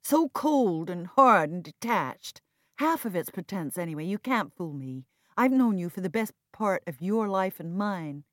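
A woman's voice: lowish voice, expressive, a little slow, no room sound, studio quality, voice pushed up. A woman narrator playing an earnest, strong-willed and loyal woman in mourning, emphatic and reproachful, in an audiobook drama. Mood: exasperated, harsh.

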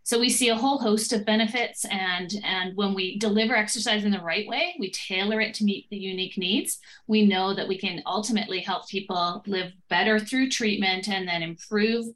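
A woman's voice: medium pitch, moderate pace, hardly any pauses, a bit bright. A woman speaks as a guest expert on a podcast. She explains research in a fluent, confident and orderly way.

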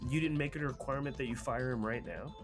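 A man's voice: mid-range voice, fast talker, music in the background. A man co-hosting a gaming news show, chatting informally and acting out mock quotes as he comments. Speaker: gaming news podcast co-host.

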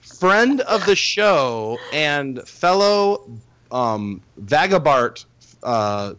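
A man co-hosting a podcast in casual banter, talking loudly with halting restarts and frequent laughs. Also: on the high side, big pitch swings, a little hiss or hum. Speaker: podcast co-host.

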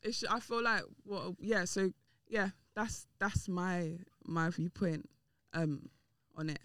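A woman's voice: lowish voice, slow pace, a bit bright, smooth, no echo. A woman speaking as a podcast guest in a casual discussion, candid, emphatic and opinionated. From Dominican and Nigerian heritage.

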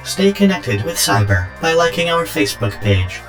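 A man's voice: a little high, very animated, moderate pace. A man reading scripted end credits as the announcer of an audio drama. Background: guitar music.

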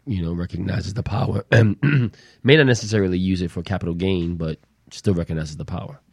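A man on a talk show who gives measured, thoughtful points. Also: low voice, vocal fry, no echo. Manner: measured, thoughtful, backs others up. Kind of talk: casual chat.

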